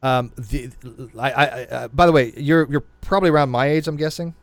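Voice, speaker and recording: A man's voice: medium pitch, a little fast, dry recording. A man in his late forties hosting a casual livestream chat, rambling with many restarts. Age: 40s. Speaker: host.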